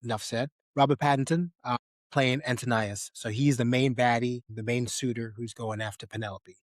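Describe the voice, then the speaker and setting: A man's voice: mid-range voice, steady tone, moderate pace, dry recording. Man chatting casually, dry close sound. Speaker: podcast co-host. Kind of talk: casual film review chat.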